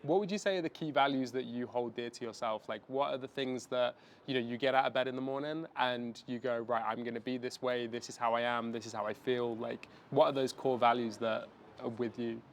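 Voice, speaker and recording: Male podcast host, friendly, encouraging, mid pitch, faint noise. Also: fairly even intonation, fairly quick. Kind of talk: casual interview questions.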